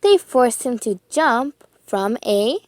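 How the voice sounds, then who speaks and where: A woman reading a children's storybook aloud with expressive, deliberate phrasing and dramatic emphasis. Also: on the high side, no room sound.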